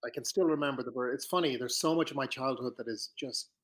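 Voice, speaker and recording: A man in his fifties with an Irish accent, talking in a relaxed, unhurried way as a podcast guest. He is reflective, often pausing and restarting his sentences as he recalls his memories. His voice sits at an average pitch for a man. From Ireland. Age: 50s. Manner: reflective, with frequent restarts and pauses. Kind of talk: relaxed conversational storytelling.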